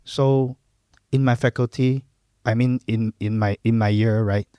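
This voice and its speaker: A middle-aged Malaysian man whose first language is Cantonese, chatting in a relaxed, reflective way as a podcast guest. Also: mid-range voice, measured pace, smooth, no echo. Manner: relaxed, reflective, lots of fillers and "lah" particles. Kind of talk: casual interview chat.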